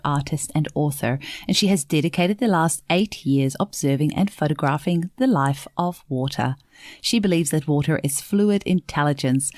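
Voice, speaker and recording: A woman with a New Zealand accent hosts a podcast in an eager, chatty way. Her voice sits a little low, and the recording is dry and close, with no room sound. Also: a little mellow, no echo. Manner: enthusiastic, chatty, laughs easily. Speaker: podcast host. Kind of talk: casual interview chat.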